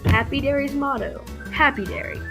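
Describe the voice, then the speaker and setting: A woman playing a perky pitchwoman in an old-time radio commercial. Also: moderate pace, over music. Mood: perky, selling.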